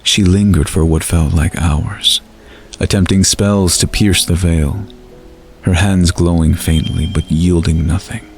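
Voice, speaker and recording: A man narrates a fantasy tale in a deep voice with a gravelly creak. His delivery is measured and dramatic, like scripted storytelling, with soft music underneath. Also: fairly even intonation.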